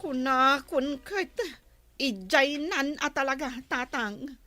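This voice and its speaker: A woman's voice: high-pitched, slow, pushed and bright, soft background music, full character voice. A woman playing a weary, complaining wife and mother with a Filipino accent, plaintive in a radio drama. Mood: worried.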